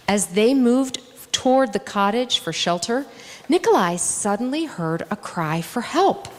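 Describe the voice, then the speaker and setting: A woman reading a children's story aloud to an all-ages congregation, deliberately and expressively, dramatizing the characters in an echoey hall. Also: medium pitch. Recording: echoey hall with long reverb.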